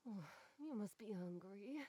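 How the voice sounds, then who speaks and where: A woman playing a gentle, caring village craftswoman in a scripted video game, speaking in a soft, tender way. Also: mid-range voice, animated, a little slow, breathy edge, no echo. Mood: fond scolding. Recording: voice over faint game music.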